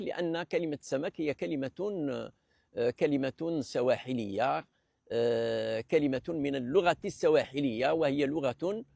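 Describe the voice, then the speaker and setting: Man lecturing with a gravelly voice. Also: a little high, a little mellow, dry recording, studio quality. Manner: hesitant, many fillers and restarts; measured, explanatory. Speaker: presenter of a language talk series. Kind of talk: unscripted lecture.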